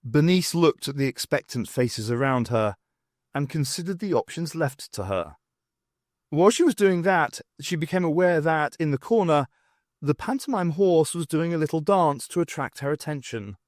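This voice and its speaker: A man's voice: a little high, expressive, normal speed, a little mellow, dry recording, studio quality. A man reading scripted fiction narration expressively as an audiobook narrator.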